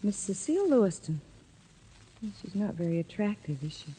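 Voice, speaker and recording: A woman's voice: mid-range voice, very animated, fairly quick, dark tone, breathy edge, low-quality recording. An actress playing a detective's frank, inquisitive female assistant in an old-time radio drama. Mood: puzzled, curious. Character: skeptical girl Friday to a detective.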